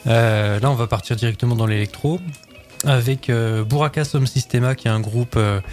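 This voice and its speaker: A man co-hosting a radio music show, talking quickly and chatting with some fillers, at times reading out a synopsis. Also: medium pitch, a little mellow. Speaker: radio co-host. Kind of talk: casual radio chat, reading a film synopsis.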